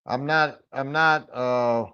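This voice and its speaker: A middle-aged man from Michigan with a raspy voice, hosting a casual firearms livestream and chatting with viewers, rambling and restarting his sentences often. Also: medium pitch, fairly even intonation, takes it slowly, pushed and bright. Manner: rambling, with frequent stammered restarts. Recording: dull lo-fi stream audio.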